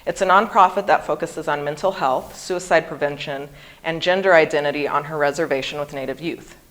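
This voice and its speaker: A woman's voice: low-pitched, a little fast, fairly bright tone. A woman in her early forties from Hawaii, giving a prepared seminar talk and pronouncing each word with care. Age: 40s. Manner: careful, over-articulated pronunciation. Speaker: guest speaker.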